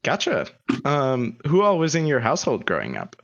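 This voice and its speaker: A man's voice: mid-range voice, huge pitch swings, normal speed, highs cut off, background noise. Playful male host who laughs a lot. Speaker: interview host. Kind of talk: casual livestream interview.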